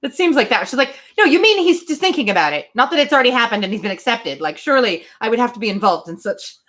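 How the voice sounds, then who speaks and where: A woman reading a classic novel aloud, giving the dialogue an expressive, shifting delivery, then chatting about the story. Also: fairly high pitch, fast talker. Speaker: reader and host.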